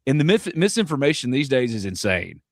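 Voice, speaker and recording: A man's voice: medium pitch, very animated, a little fast, no echo, clean studio sound. A man from North Mississippi, hosting a live sports podcast in a rambling, joking, self-deprecating way. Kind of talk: casual live sports chat.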